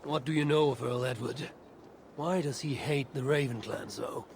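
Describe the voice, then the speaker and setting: A man's voice: mid-range voice, normal speed, gravelly, darkish tone, slight background noise, lightly acted. An actor playing a bold, self-assured male Viking warrior in a video game, speaking tersely in formal, archaic phrases. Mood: firm, defiant. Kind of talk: dramatic video game dialogue.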